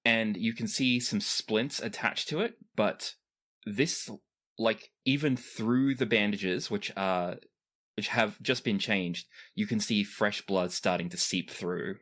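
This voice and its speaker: A game master improvising casual, descriptive narration for a tabletop game, pausing for effect. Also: medium pitch. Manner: animated, descriptive, pauses for effect.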